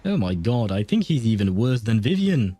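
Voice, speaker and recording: A man's voice: fairly low pitch, big pitch swings, normal speed, breathy edge, no room sound. A man livestreaming a video game with casual, chatty commentary, thinking aloud as he plays. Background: soft game music.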